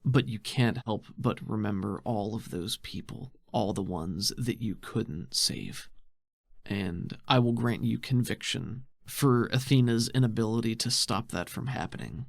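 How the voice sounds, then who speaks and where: A man's voice: on the low side, unhurried, creaky, no echo. A man running a tabletop game, narrating scenes in descriptive, dramatic storytelling as game master.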